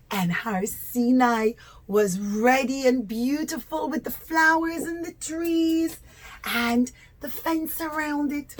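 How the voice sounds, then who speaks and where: A woman telling a story to young children in simple, repetitive, emphatic phrases. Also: high voice. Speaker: children's puppet show storyteller.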